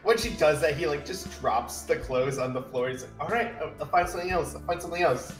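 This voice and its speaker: A man's voice: fairly high pitch, quick pace. Guy playing tabletop rpg, talking it through casually, echoey, music in back. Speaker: tabletop roleplaying player. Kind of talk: casual in-game description of own character. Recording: roomy echo, music underneath.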